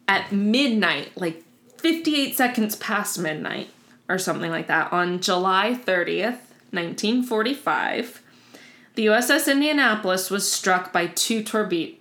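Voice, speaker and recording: A woman's voice: medium pitch, measured pace. Chatty woman co-host telling history. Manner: relaxed storytelling, frequent "um" and "like". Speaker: podcast co-host. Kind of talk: casual history podcast chat.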